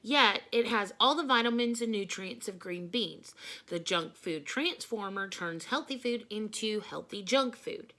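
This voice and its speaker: A woman voicing an eccentric female computer teacher, a proud inventor, in a storybook, with showy, deliberate delivery. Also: medium pitch, animated, bright, forceful tone.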